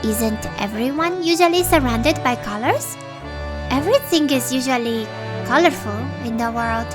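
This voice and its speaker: A woman playing a gentle, modest young female healer, polite and slightly formal, in a fan audio drama. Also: high-pitched, expressive, normal speed, music in the background. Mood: calm, caring.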